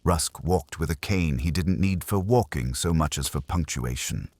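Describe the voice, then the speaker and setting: A man's voice: rumbling low, moderate pace, darkish tone, slightly rough. A man reading first-person detective fiction as narrator, with a wry, hardboiled manner.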